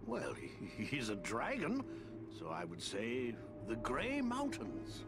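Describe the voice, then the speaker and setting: Wildly lilting, whimsical old wizard. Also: male voice, mid-range voice, wildly swooping pitch, takes it slowly, over music, exaggerated voice. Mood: puzzled, musing. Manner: whimsical, musing, rambling, theatrical. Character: dotty, cheerful, crafty old male wizard. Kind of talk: fantasy game voice acting.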